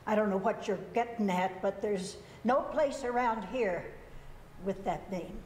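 A woman's voice: medium pitch, a little slow, darkish tone, natural voice. An older actress playing a suspicious old woman who answers in a curt, folksy way and calls people honey and boy. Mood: guarded. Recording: echoey hall, faint noise.

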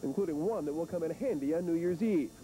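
A man's voice: quick pace, rough recording. A man in his thirties reporting live for TV news with a handheld microphone, outdoors on location. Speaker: TV field reporter. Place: outdoors at an army base, on location. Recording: faint hiss and rumble, old TV broadcast.

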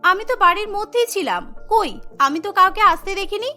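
A woman's voice: very high-pitched, expressive, brisk, bright, forceful tone, soft background music, exaggerated voice. A woman voicing a guarded, sly young woman in a cartoon, with a questioning, defensive manner. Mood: innocent, defensive. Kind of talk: cartoon character dialogue.

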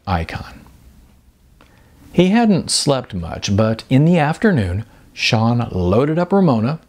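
A middle-aged man reading a story aloud as an expressive audiobook narrator. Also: lowish voice. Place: bright furnished room indoors.

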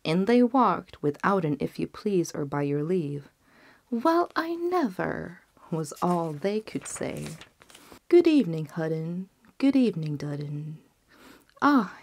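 A woman's voice: lowish voice, darkish tone, dry recording. A young woman reading a fairy tale aloud indoors, acting out the characters' voices. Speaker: storybook reader. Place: small indoor set with a red curtain.

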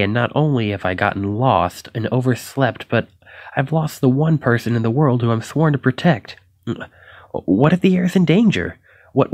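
A man's voice: medium pitch, darkish tone, slightly rough, dry recording, studio quality. A man acting a shy, flustered, self-doubting young knight in an ASMR roleplay, stammering and hesitant as he apologizes. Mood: panicked.

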